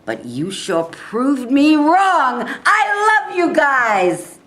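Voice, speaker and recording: A woman playing a stubborn, proud male college football coach in a staged reading, with an emphatic, deliberate delivery. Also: high voice, huge pitch swings, fairly bright tone, full character voice. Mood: rousing.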